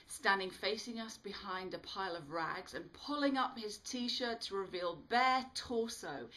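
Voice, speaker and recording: A woman author reading her own book aloud with animated, dramatic storytelling delivery. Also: fairly low pitch, poor audio. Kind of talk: expressive storytelling read-aloud.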